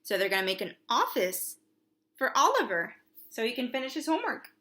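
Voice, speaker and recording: A woman with a fairly high voice reads a picture story to young children. She sounds like a preschool teacher, delivering the tale expressively and adding simple comments for the little ones. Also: big pitch swings, fairly quick, bright, forceful tone.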